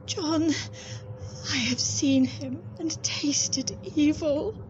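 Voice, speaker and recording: Tormented young wife: very high, fervent, radio melodrama, muffled noisy track. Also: female voice, steady tone, extremely slow. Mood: anguished. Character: anguished young wife tormented by a vampire's hold. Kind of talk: radio drama acting.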